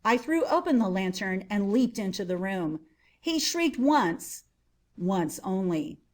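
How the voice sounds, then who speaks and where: A woman reading a horror audiobook as a nervous, cunning murderer who insists on being sane, with theatrical emphasis and formal, old-fashioned diction. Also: mid-range voice. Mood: grim, intense. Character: nervous murderous narrator insisting on sanity, gleefully cunning, unravelling. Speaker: audiobook reader.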